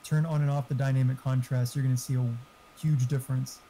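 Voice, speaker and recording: Very dark-toned man, flat delivery. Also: mid-range voice, brisk, slight background noise. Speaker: gaming friend on voice chat. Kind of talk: casual chat, giving settings advice.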